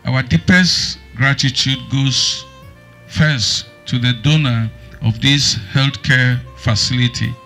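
Slow, bright-voiced Nigerian man reading a speech. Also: mid-range voice. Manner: measured, halting phrasing. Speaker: clinic founder and evangelist. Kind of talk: formal speech read aloud. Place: outdoors on a building veranda, into a microphone. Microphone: microphone close to the mouth. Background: music.

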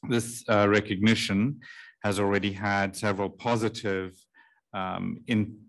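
Deep-voiced man with a flat delivery. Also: rough recording. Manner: measured, formal, with frequent ums. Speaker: panel chair giving opening remarks. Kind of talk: formal speech, partly read. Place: large conference room. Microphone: table microphone.

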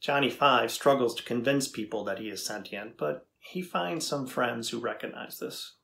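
A middle-aged man playing a thoughtful, curious AI researcher in a dramatized interview, deliberate and inquisitive. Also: medium pitch, vocal fry, fairly bright tone, slightly rough. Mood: explaining. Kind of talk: dramatized transcript reading. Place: indoors before a studio backdrop.

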